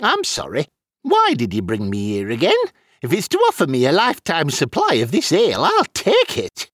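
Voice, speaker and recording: A man voicing a tipsy, flippant wizard in a storybook, with a cheeky, wry, colloquial manner and a husky voice. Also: a little high, huge pitch swings, moderate pace, fairly bright tone, no echo, clean studio sound, heavily acted. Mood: cheeky, bargaining. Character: tipsy, flippant male wizard, fond of ale.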